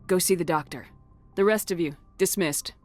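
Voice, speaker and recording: An actress playing a steady military starship commander woman in a video game, direct and terse. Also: lowish voice, normal speed, soft background music, lightly acted. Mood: firm. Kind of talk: scripted game dialogue.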